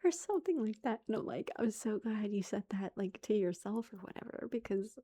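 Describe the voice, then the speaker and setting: A woman in her thirties, a mother, telling a story in a soft whisper, chatty and amused. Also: mid-range voice, expressive, a little fast.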